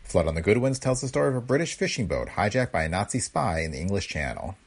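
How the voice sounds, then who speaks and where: An American man with a gravelly, husky voice reading a scripted podcast introduction as host. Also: fairly low pitch, sing-song, fast talker.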